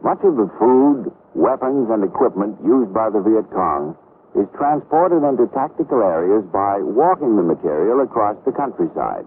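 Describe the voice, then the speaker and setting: An American man narrates a vintage military documentary in a low voice with lively rises and falls. His delivery is formal, measured and authoritative. The sound is narrow and tinny, like an aged film soundtrack. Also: slight background noise. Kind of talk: scripted 1960s documentary narration.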